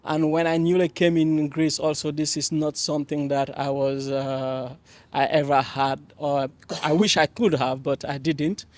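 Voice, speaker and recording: A young man from Mali on a panel, telling his story with many pauses and restarts. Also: a little high, steady tone. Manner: hesitant, many fillers and restarts, warm laughs. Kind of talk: panel discussion, personal account.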